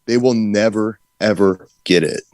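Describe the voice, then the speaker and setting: A man's voice: fairly low pitch, a little slow, a little mellow, no echo. A man co-hosting a livestream, talking casually and giving political opinions. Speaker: livestream co-host.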